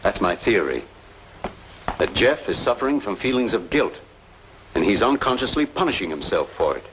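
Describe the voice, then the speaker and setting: An actor playing a grave, persuasive doctor in an old-time radio noir drama, speaking in a measured, formal, explanatory way. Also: male voice, fairly low pitch, very animated. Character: grave, authoritative male doctor, earnest and persuasive. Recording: noisy vintage radio recording.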